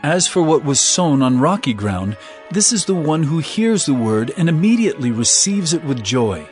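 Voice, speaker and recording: Earnest scripture teacher, slightly high male voice, lively intonation, measured declamatory delivery, music underneath. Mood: earnest, solemn. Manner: measured, declamatory, emphatic phrasing. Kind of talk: dramatized scripture reading.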